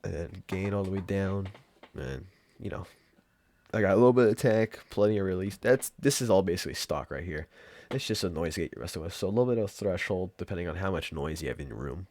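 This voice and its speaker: A young man hosting a casual software tutorial in an informal, rambling way. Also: sits low, animated, fast. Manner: informal, rambling, mild swearing. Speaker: tutorial host. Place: room at home.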